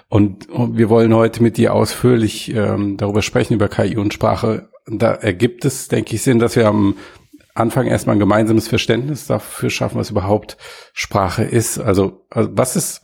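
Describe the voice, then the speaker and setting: A man hosting a podcast, introducing the episode and asking measured questions. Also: lowish voice, vocal fry, darkish tone, husky. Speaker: podcast host.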